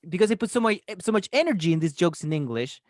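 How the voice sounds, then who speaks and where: Male comedian guest, Spanish native speaker, slightly high voice, halting chat, dry. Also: big pitch swings, no room sound. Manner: halting, with fillers and repeats. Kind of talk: casual podcast chat.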